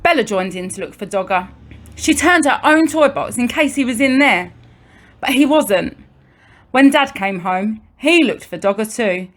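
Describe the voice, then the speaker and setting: A woman with a fairly high-pitched voice reads a children's story aloud. She sounds like a primary school teacher at story time, placing deliberate pauses and expressive emphasis for young listeners.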